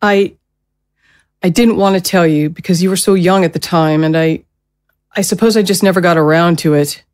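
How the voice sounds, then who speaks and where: A woman playing a gentle, protective middle-aged mother in an audio drama, speaking in a soothing, careful way. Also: lowish voice, steady tone, moderate pace, close to an everyday voice. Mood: regretful.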